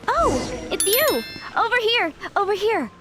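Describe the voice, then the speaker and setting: A young townswoman in a video game, with a high voice that swings expressively and soft music behind. The manner is polite and animated. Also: measured pace, heavily acted. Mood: relieved, eager. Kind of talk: video game character acting.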